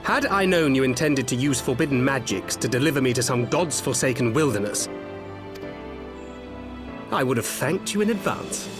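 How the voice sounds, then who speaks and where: An actor playing a roguish, witty adventurer in a fantasy game, with theatrical, self-mocking and refined phrasing. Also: male voice, medium pitch, animated, moderate pace, close to an everyday voice. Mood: indignant, dramatic. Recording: music behind the voice.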